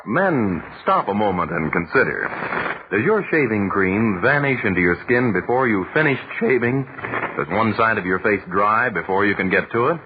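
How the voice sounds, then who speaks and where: Tinny, animated 1940s American radio pitchman. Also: normal speed, noisy background. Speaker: radio commercial announcer.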